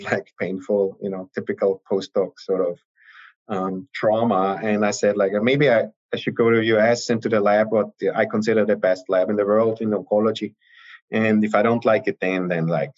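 A man in his fifties with an Austrian accent, a guest talking in a relaxed, rambling way with many fillers. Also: on the low side. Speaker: guest, venture partner. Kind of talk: casual interview talk. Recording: dull lo-fi audio.

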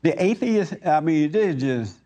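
A man's voice: on the high side, lively intonation, unhurried, mellow. A man hosting an informal preaching discussion, speaking in a folksy, plainspoken way with loose grammar. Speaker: host leading a group discussion. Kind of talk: informal preaching talk with audience questions.

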